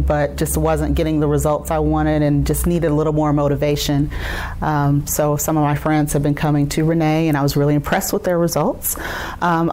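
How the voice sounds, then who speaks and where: A woman in her thirties introducing herself as a participant, speaking in a measured way with many um fillers. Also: sits low, a little mellow. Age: 30s. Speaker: challenge participant.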